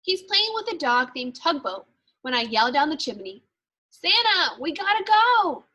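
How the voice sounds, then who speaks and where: A woman's voice: sits high, very animated, unhurried, pushed and bright, exaggerated voice. A woman reading a children's storybook as an eager, fretful little Christmas elf, chipper and confiding. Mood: worried, hurried. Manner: chipper, punctual, confiding asides. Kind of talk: children's storybook read-aloud.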